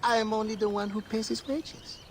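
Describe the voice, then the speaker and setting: An actor playing a flamboyant, teasing gossip in a video game, theatrical and exclamatory. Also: male voice, sits high, fairly even intonation, moderate pace, a little hiss or hum. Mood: theatrical, playful. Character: flamboyant, theatrical, gossipy man employing a scribe.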